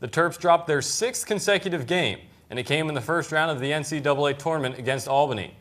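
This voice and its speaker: A young man working as a sports studio anchor, reading scripted highlights narration. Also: fast, a bit bright. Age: young adult.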